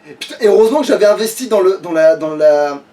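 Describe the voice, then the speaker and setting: A man's voice: high voice, lively intonation, fast, dark tone, far from the mic. A man chatting casually as he plays a video game, grumbling and swearing. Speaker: let's player playing the game. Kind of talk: casual gaming chat, live play commentary.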